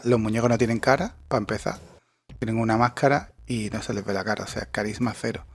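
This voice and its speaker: A man's voice: medium pitch, no echo. A man, ranting sarcastically and swearing in a casual chat as a podcast co-host. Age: 40s.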